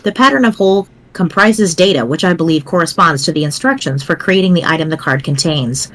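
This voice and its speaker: A woman voicing a pedantic, precise young explainer in a dramatic reading, formal, wordy and measured. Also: deep voice, fairly bright tone. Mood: dry, instructive.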